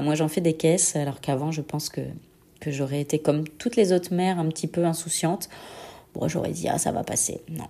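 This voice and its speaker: A young woman gives a personal testimony in a lowish, very mellow voice, slightly stuffed up by a cold. She speaks reflectively and hesitantly, pausing often as she searches for words. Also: gravelly. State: congested with a head cold. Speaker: bereaved mother giving a personal testimony. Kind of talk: intimate personal testimony, answering set questions.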